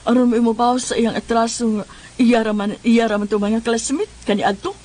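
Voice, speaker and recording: An actress with a Cebuano Filipino accent playing an exasperated woman who scolds with drawn-out, emphatic words in a comedy radio drama. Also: fairly high pitch, steady tone, a little mellow, a little hiss or hum, lightly acted. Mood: scolding. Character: exasperated scolding woman nagging a hot-headed man.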